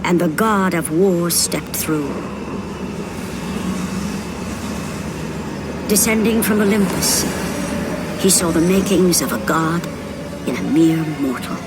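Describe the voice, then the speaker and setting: An actress playing a grave, all-knowing narrator of a Greek myth in a video game, solemn and theatrical. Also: female voice, on the low side, unhurried, very noisy, lightly acted. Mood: ominous, intense. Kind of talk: dramatic scripted narration of a mythic game story. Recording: loud music behind the voice.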